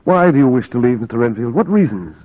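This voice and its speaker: An actor playing a brisk, authoritative asylum doctor in a 1940s radio drama, speaking emphatically. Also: male voice, medium pitch, lively intonation, phone-quality sound, natural voice. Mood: curt, formal. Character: brisk, commanding male asylum doctor hunting a vampire. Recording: band-limited 1940s radio recording.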